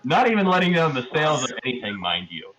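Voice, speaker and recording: A man's voice: fairly high pitch, big pitch swings, fast talker, muffled recording, a loud crowd. A man giving a casual, rambling and amused recap of a tabletop roleplaying session as one of the players.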